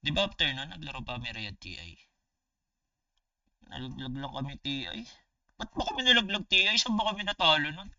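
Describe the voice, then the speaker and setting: Man, Filipino accent, bright forceful voice, slangy casual streamer, sweary storytelling, dull lo-fi sound. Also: fairly high pitch, brisk, pauses often, no echo, recorded from a distance. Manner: animated, slangy, swearing, talking to viewers. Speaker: gaming streamer. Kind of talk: casual storytelling livestream chat.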